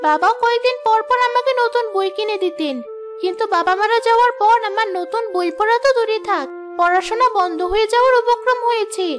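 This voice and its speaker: A woman's voice: brisk, over music. A woman voicing a hurt, earnest young schoolgirl in a cartoon, with a childlike voice. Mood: sad. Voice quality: very high, childlike. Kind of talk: cartoon voice acting.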